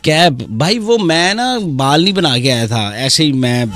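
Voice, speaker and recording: A man's voice: on the high side, sing-song. Joking Punjabi-accented Pakistani man, music behind. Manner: joking, mock-serious, teasing; switches between languages mid-talk. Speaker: live radio call-in show host. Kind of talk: playful live radio banter with comic monologue.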